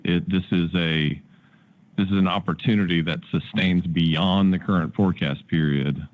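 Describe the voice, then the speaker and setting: An American man, a company executive, answering analysts' questions in a measured way with halting repeats. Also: low voice, steady tone. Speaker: company executive on an earnings call. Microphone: conference call. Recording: muffled, narrow-band conference call line.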